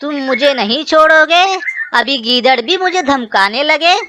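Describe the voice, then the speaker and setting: Menacing owl bully, played by a woman: bright, high-pitched, slow taunts and bragging for a kids' cartoon. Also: slight background noise. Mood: boastful, threatening. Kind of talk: children's cartoon story dialogue.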